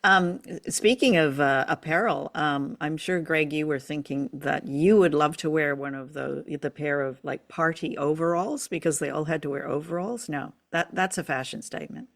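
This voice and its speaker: A woman with a noticeably creaky voice chats as a show co-host. Her delivery is conversational and playful, with plenty of fry in the sound. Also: low voice, normal speed, hardly any pauses. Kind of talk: casual discussion of an old radio drama.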